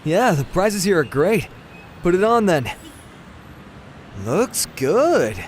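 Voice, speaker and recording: A man acting in an audio drama as a young prince and affectionate brother, casual and relaxed. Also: high voice, slow pace, a little hiss or hum, exaggerated voice. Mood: warm, casual. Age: young adult.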